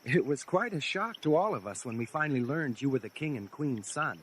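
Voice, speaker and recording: An actor playing a loyal, deferential rebel aide in a sci-fi audio drama, earnest and respectful. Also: male voice, medium pitch, animated, a little fast, a little hiss or hum, close to an everyday voice, rough recording.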